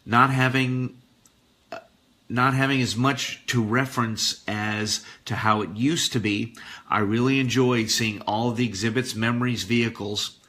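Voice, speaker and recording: A man's voice: medium pitch, bright, forceful tone. A man hosting a radio show, reading a listener's letter aloud expressively with the odd aside.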